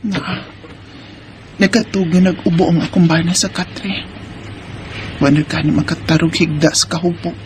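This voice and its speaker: A woman's voice: fairly low pitch, steady tone, normal speed, pauses often, background noise, natural voice. An actress playing a weary, mistreated wife and mother in a Filipino radio drama, with a Bisaya accent. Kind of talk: Filipino radio drama acting and first-person narration. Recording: music behind studio drama voice.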